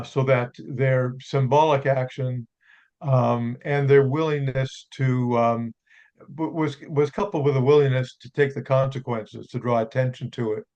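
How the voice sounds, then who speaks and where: A man asks a long question from the conference floor, stopping and restarting often. His voice is mid-pitched, and the recording sounds dull and slightly lo-fi. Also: a little mellow. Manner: halting, many fillers and restarts. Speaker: audience member.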